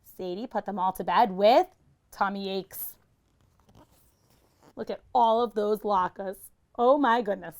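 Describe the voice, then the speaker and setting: A woman's voice: mid-range voice, slow pace, long pauses, a little mellow. A woman reading a children's picture book aloud like a teacher, expressively and with dramatic emphasis.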